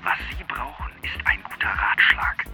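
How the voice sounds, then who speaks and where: Bedrohlicher, knapper Beamter. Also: male voice, normal speed, pushed and bright. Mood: cold, threatening. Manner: curt, threatening, commanding. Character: menacing male official warning off a caller. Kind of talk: German radio drama acting. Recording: music behind the voice.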